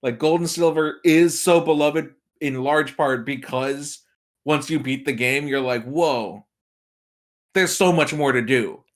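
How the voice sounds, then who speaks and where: Male podcast guest, fairly high voice, informal and emphatic, with frequent asides. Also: measured pace. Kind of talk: casual gaming podcast discussion.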